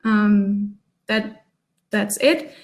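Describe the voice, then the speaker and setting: A woman's voice: mid-range voice, steady tone, very slow. A woman from Germany, leading an online workshop session over a video call in a chatty, upbeat way with many ums. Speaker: workshop facilitator.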